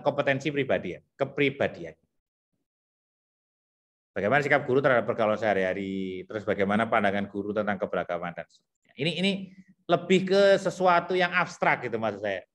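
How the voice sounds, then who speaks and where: A man with a thick Javanese accent explaining teacher competency criteria to colleagues, repeating himself and filling pauses as he thinks aloud. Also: lowish voice, lively intonation, a bit bright. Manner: repetitive, thinks aloud with many fillers.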